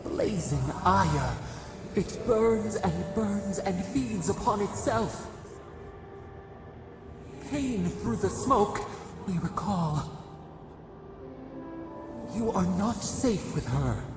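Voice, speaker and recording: An actor voicing an ancient, grieving spirit in a video game, speaking as we in cryptic, halting, solemn phrases with a gravelly voice. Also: medium pitch, lively intonation, full character voice. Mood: pained. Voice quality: gravelly, creaking. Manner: slow, cryptic, incantatory, halting short phrases. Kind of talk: solemn dramatic game voice acting. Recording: heavily reverberant echoing voice, music behind.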